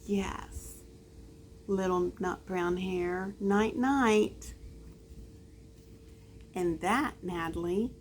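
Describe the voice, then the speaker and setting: A woman reading a picture book aloud to a small child, adding drawn-out, expressive asides. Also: fairly low pitch, a little hiss or hum. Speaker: storyteller.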